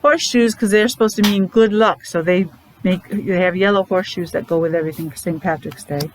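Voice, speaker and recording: A woman's voice: fairly low pitch, fairly even intonation, a little hiss or hum, low-quality recording. A woman preschool teacher leading a counting lesson for young children, encouraging and asking lots of questions. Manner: encouraging, asks questions with pauses.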